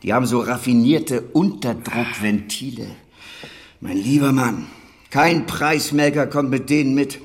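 A man's voice: medium pitch, unhurried, lightly acted. Man playing earthy, fatherly older dairy farmer. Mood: matter-of-fact, wry. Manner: earthy, colloquial, wry, chuckling asides. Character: gruff, hearty older dairy master, fatherly to his former apprentice. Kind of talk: naturalistic German radio drama acting.

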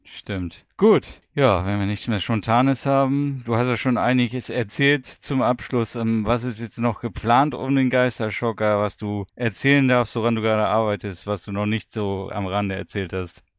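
Male interviewer, smooth lowish voice, chatty questions, really dry sound. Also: steady tone, moderate pace, few pauses, no echo. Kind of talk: casual conversational interview.

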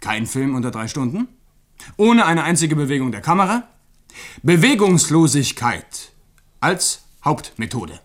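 An actor playing a smug, doctrinaire young film theorist, self-assured and pedantic, in a radio play. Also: male voice, fairly high pitch, very animated, a little slow. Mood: earnest, pedantic. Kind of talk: German radio drama acting.

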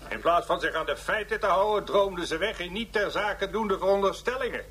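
A cantankerous philosopher in a radio play, lecturing pompously and full of scorn. The voice is high for a man, over faint background noise. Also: fairly quick, fairly bright tone. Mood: contemptuous. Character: cantankerous, contemptuous male philosopher ranting against philosophers. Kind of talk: radio drama acting as a lecturing thinker.

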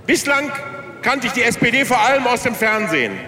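An actor playing a brash populist candidate, giving a blunt, folksy campaign speech in a satirical radio play. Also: male voice, very high voice, expressive, a little fast, bright, forceful tone, heavily acted. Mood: folksy, rallying. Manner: blunt, folksy, rabble-rousing. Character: brash male populist candidate, former sausage manufacturer. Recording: echoing hall with crowd.

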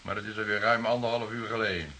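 An actor playing a stern, formal police commissioner in a radio drama, with an authoritative, clipped manner. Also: male voice, fairly low pitch, steady tone, fast talker, pushed and bright, a little hiss or hum. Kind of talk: Dutch radio drama acting.

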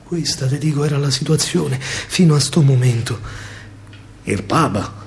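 A man with a Roman accent performing a theatrical verse monologue as a soldier, declamatory and full of pauses. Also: mid-range voice, animated, over loud music. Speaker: stage actor and storyteller.

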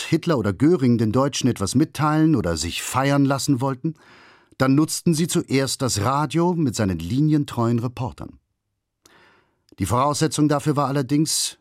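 A man reading scripted documentary narration in a measured, factual manner. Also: medium pitch, darkish tone, no room sound, clean studio sound. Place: studio.

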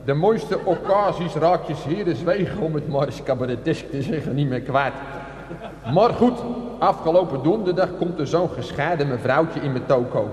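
A male actor plays an older used-car dealer in a comic radio play. His fairly high voice rings through a reverberant hall as he makes chatty, self-excusing confessions in salesman's patter. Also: darkish tone, natural voice. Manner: salesman patter with colloquial asides. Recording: echoey hall with long reverb.

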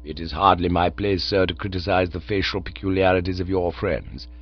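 Man as a formal, low-voiced valet. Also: a little fast, dry recording, dull audio. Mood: tranquil, deferential. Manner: formal, restrained, tactful. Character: calm, deferential English valet.

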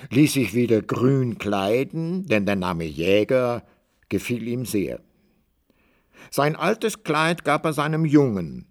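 A man narrating a literary radio drama from a script, measured and wry in his storytelling. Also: medium pitch.

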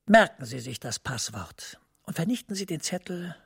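Casting: middle-aged senior official, radio drama. Very raspy, breathy, creaky, measured, quietly authoritative, close and dry. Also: male voice, on the high side, big pitch swings, no echo, exaggerated voice. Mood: discreet, controlled. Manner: precise, measured, confidential. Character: professor and senior EU official, composed, discreet, authoritative. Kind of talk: German radio drama acting.

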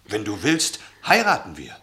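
An actor playing a tender, protective suitor in a German radio play, speaking in a soothing, earnest and emotive way. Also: male voice, on the low side, huge pitch swings, normal speed, bright, forceful tone, full character voice. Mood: fervent, hopeful. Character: tender, protective male suitor comforting a frightened girl.

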